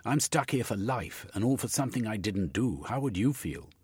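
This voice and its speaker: Narrator doing a snooty tired gent in a cell, gruff, husky. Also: male voice, lowish voice, animated, moderate pace, darkish tone, no echo, voice pushed up. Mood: haughty. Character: haughty, gruff gentleman prisoner, weary.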